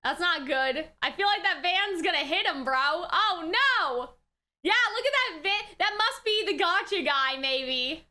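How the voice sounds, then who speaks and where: Very high, bright-voiced woman reacting excitedly. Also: unhurried. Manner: exclamatory and slangy, swinging between shouts and mutters. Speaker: reaction video host. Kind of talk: casual, excitable live reaction commentary.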